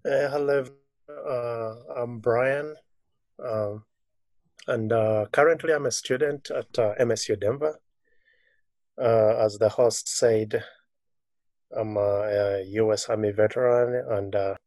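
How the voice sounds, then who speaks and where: A young man from Uganda, a podcast guest speaking casually and hesitantly, pausing often. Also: medium pitch, steady tone, darkish tone, no room sound. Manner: hesitant, many pauses and "uh"s. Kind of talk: casual conversation.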